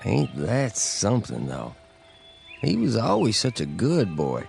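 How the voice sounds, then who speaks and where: An actor playing an easygoing, curious small-town man in a radio drama, with a gravelly, folksy rural American voice. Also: on the low side, huge pitch swings, unhurried, darkish tone, faint music behind, exaggerated voice. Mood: genial, musing. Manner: folksy, musing.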